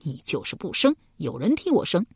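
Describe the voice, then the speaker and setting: A woman's voice: lowish voice, huge pitch swings, normal speed, a little mellow, breathy edge, no room sound, studio quality, heavily acted. A woman storyteller playing a ruthless, ambitious husband from ancient times, domineering and coldly persuasive. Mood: angry, domineering.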